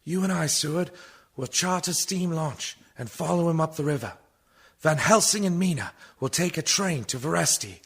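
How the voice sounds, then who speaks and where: Guy playing determined adventurer, radio drama, really husky n gravelly. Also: a little high, lively intonation, normal speed, fairly bright tone, heavily acted. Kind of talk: radio play acting.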